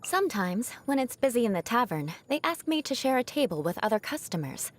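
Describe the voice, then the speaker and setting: A woman's voice: a little high, sing-song, fairly quick. A young woman voicing a proud, haughty noblewoman knight in a video game, an outcast bent on revenge, with formal, dramatic diction. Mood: exasperated, indignant. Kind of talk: video game character voice lines.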